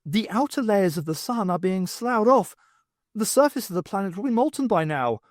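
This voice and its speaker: A man's voice: sits high, lively intonation, moderate pace, dry recording, studio quality, pitched above the performer's own voice. A man voicing an alarmed female professor in an audiobook, explaining like a scientist. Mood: horrified.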